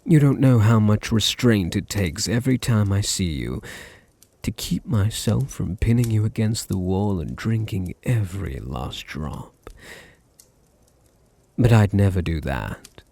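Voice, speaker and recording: A man with a deep British voice playing a possessive, dominant vampire master in an intimate ASMR roleplay, teasing and condescending, with a gravelly, husky murmur. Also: animated, a little mellow, full character voice. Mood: doting, hungry. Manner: slow, teasing, condescending, drops into breathy murmurs.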